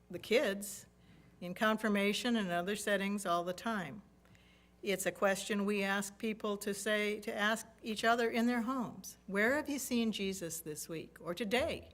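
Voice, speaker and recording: A woman deacon giving a conversational sermon in a church and inviting the congregation to share. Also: mid-range voice. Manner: warm, conversational preaching to a congregation. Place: church sanctuary.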